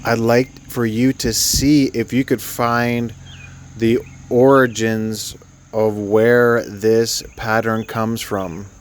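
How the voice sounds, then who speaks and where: A man leading a guided sleep meditation, speaking gently and soothingly in an unscripted, halting way with long pauses. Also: medium pitch, level tone, a little hiss or hum.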